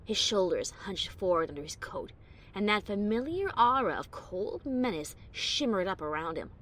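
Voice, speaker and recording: A woman's voice: mid-range voice, sing-song, normal speed, fairly bright tone, rough recording. A woman playing a wry but secretly anxious adventurer pony who narrates her own story expressively, with bravado over nerves. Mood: reflective. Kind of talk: first-person dramatic story narration.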